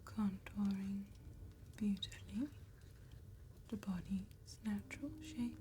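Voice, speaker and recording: A woman playing an attentive, courteous vampire tattoo artist in a slow, breathy ASMR whisper with deliberate pauses. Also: mid-range voice, steady tone, faint music behind, lightly acted. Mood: calm, attentive. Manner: slow, deliberate, gentle phrasing with long pauses.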